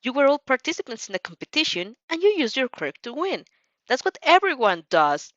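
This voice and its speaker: A woman's voice: sits high, sing-song, a little fast, no echo, highs cut off, voice pushed up. Woman acting an exasperated, emphatic teenage girl. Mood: insistent, hurt. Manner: emphatic, scolding. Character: exasperated, caring teenage girl standing her ground.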